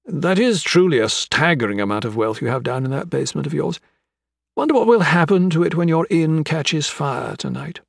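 A man voicing an older gentleman villain in an audiobook, with florid, mock-courteous, taunting speech. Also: on the high side, expressive, moderate pace, vocal fry, husky, dry recording, clean studio sound, pitched above the performer's own voice, exaggerated voice. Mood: mocking menace. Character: menacing, theatrical old gentleman crime boss, mocking and sentimental. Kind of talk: dramatic audiobook character acting.

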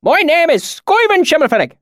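A weathered backwoods swineherd, speaks in a high, swooping voice. His thick rural dialect is folksy and hollered, as if calling across a field. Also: big pitch swings, fairly quick, fairly bright tone, dry recording, clean studio sound, heavily acted. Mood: friendly. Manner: hollering, folksy, drawling speech. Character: lean, weathered, suspicious old rustic swineherd, later friendly.

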